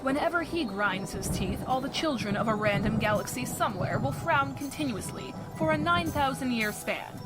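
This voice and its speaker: A woman's voice: on the high side, animated, moderate pace, hardly any pauses, natural voice, rough recording. A woman playing a wry, articulate narrator, delivering scripted second-person adventure story narration with a touch of theatre. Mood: wry, matter-of-fact. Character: wry, matter-of-fact adventure game narrator. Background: music.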